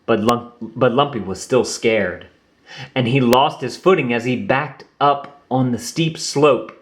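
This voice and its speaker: A man reading a children's storybook aloud in an expressive, unhurried way. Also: mid-range voice, a little hiss or hum. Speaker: storybook reader.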